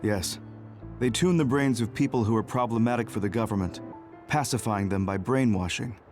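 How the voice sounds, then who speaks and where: A man's voice: fairly low pitch, moderate pace, darkish tone, lightly acted. Man acting composed senior officer, music behind. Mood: grave. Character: composed adult male senior officer, guarded and apologetic. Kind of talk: English video game voice acting.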